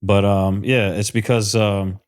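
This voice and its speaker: Low-voiced guy from florida, earnest and emotional, lots of ums and restarts. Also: level tone, measured pace, smooth. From South Florida, USA. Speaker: guest. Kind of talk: casual interview talk.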